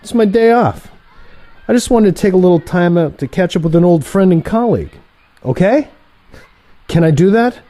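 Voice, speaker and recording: A man's voice: high voice, expressive, moderate pace, long pauses, mellow. An actor playing a cocky younger male assassin who banters with teasing sarcasm in a comic audio play.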